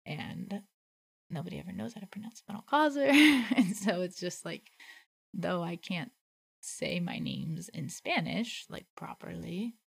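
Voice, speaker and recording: A woman talks slowly and thoughtfully in a relaxed conversation about faith, leaning on "like" as she thinks aloud. Her voice is husky with a gravelly fry and sits a little low. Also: no room sound. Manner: reflective, thinks aloud with many "like" fillers. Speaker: podcast co-host.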